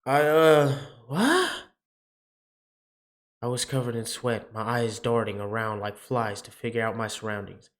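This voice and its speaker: A man's voice: fairly low pitch, level tone, fairly quick, few pauses, fairly bright tone. A man reading visual novel narration aloud dramatically as he plays. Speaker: game player reading aloud.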